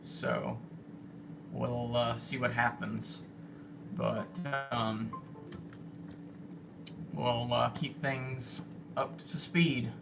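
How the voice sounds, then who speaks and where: A man chatting casually to livestream viewers as an amateur storm spotter, speaking haltingly with trailing pauses, on phone audio. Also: medium pitch, level tone, creaky, music in the background. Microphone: phone, held or propped nearby.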